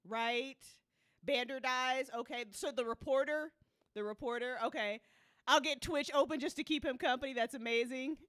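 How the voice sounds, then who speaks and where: A woman's voice: high-pitched, bright, forceful tone, dry recording, clean studio sound. A woman hosting a live listen party in casual livestream chat, informal and teasing, with some swearing.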